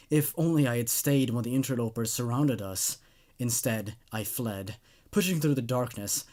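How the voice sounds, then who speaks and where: A man's voice: mid-range voice, close to an everyday voice. Man voicing a solemn, lovesick otherworldly captive. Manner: solemn, deliberate, hushed at phrase ends. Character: mournful, devoted otherworldly captive narrator, lovesick and regretful. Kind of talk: dramatic horror story narration.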